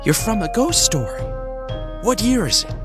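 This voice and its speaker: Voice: medium pitch, moderate pace, over music, natural voice. An actor playing a curious amateur sleuth in a hobby audio drama, speaking expressively. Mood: skeptical. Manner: expressive, swinging between musing and exclaiming. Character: curious amateur sleuth exploring an old house. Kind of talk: hobby audio drama acting, thinking aloud.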